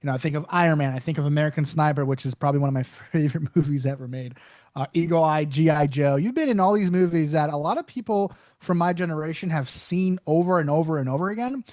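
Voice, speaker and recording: A male podcast host asks long, meandering questions packed with fillers. His voice sits a bit high, and the sound is dry and close. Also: quick pace, darkish tone, no echo. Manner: rambling, filler-heavy questions. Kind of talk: casual podcast chat.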